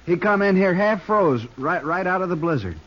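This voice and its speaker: An actor playing a scrawny, simple young outlaw sidekick with an American accent, whiny and stammering, in a 1950s radio western. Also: male voice, high voice, expressive, normal speed. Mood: nervous. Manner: hesitant, stammering, rustic. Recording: muffled, noisy old 1950s radio recording.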